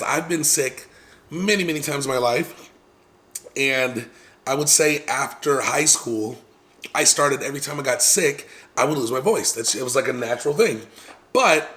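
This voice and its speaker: A man casually telling viewers a long personal story, rambling with many fillers and restarts and clearing his throat now and then. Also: mid-range voice, fairly bright tone. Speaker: vlogger.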